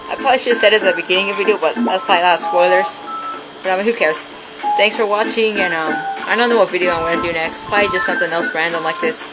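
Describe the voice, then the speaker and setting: A woman's voice: medium pitch, low-quality recording. A woman giving casual, offhand and rambling commentary as a video uploader. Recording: amateur mic with loud game music.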